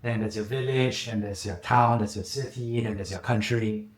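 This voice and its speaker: Low-voiced podcast host pushing back. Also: male voice, fairly even intonation, normal speed, roomy sound. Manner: probing, plays devil's advocate with history examples. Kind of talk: interview podcast.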